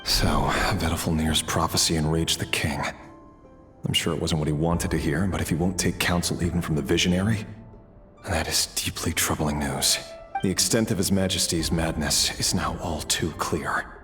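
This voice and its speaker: Super deep cynical self-serving game character, measured n wry, faint music behind. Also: male voice, steady tone, fairly bright tone. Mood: grave. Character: cynical, self-serving man seeking a pardon. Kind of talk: video game voice acting.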